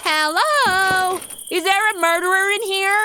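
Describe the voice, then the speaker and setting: A woman's voice: very high-pitched, measured pace, very noisy. An actress playing a sassy, exasperated modern young woman with a blunt, snarky manner in a comedy audio drama. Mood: flippant.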